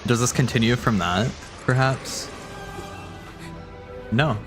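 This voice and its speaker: A man's voice: mid-range voice, takes it slowly, music in the background. A man streaming casual watch party commentary, reacting in a musing, tentative way.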